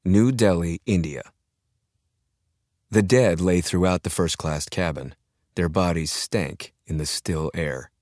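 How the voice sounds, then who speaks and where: Deep-voiced male thriller audiobook narrator. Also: slow, a little mellow, no echo, clean studio sound. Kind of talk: dramatic scripted thriller narration. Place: dry studio, close mic.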